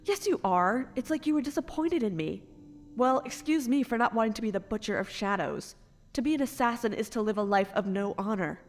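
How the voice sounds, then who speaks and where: A woman playing a wronged, grieving noble parent and reluctant assassin, earnest and formal, in a dark fantasy audio drama. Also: fairly high pitch, expressive, brisk, faint music behind, natural voice. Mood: distressed, indignant.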